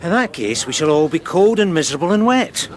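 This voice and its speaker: A put-upon detective sergeant in a radio crime drama. His voice is fairly high, and he speaks with deadpan, tetchy deference. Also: fairly quick. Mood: sardonic. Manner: deadpan, dry.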